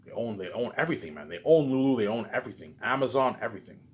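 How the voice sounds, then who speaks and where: A man's voice: medium pitch, expressive, rapid-fire. A man delivering a rambling, unscripted monologue to viewers, ranting and swearing, and often repeating himself and the same verbal tags. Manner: repetitive, with frequent verbal tags; profane, ranting. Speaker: vlogger.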